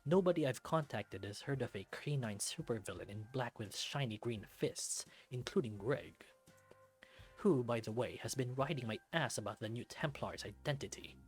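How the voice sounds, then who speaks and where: A man playing a gruff, impatient superhero with a brusque, sarcastic manner in a visual novel. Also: mid-range voice, expressive, normal speed, soft background music. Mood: irritated. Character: gruff, sardonic, impatient male superhero, the Baron.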